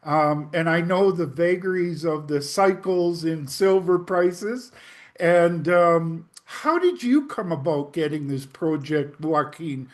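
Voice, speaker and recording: A man's voice: a little high, slow pace. A man hosting an interview, asking friendly questions with hesitant "um" fillers. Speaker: interview host.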